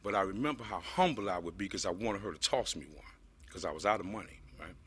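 Deep-voiced man telling a rambling life story. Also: expressive, fast talker, fairly bright tone, breathy edge. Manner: loose, rambling storytelling with many fillers. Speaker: speaker sharing a recovery story. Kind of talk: personal testimony to a group.

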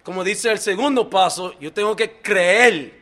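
A middle-aged Dominican-American man from New York giving an earnest, halting personal testimony at a recovery meeting, switching between Spanish and English fillers. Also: high voice, sharp tone. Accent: Dominican-American, mixing English into Spanish. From New York, Dominican family. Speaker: speaker sharing at a recovery meeting.

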